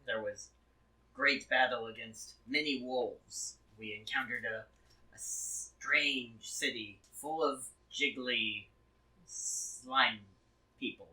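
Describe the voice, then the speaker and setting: A man playing a polite, flustered adventurer who speaks formally and haltingly in a tabletop game. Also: medium pitch, pushed and bright. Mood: halting, evasive. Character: polite, flustered, formal-spoken male adventurer recounting his travels. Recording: distant mic with faint noise.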